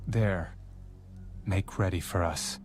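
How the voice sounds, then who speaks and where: A solemn prophet and teacher in an audio Bible drama. The voice is low and authoritative, each statement measured and grave, with soft music underneath. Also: male voice. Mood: calm instructing. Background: background music.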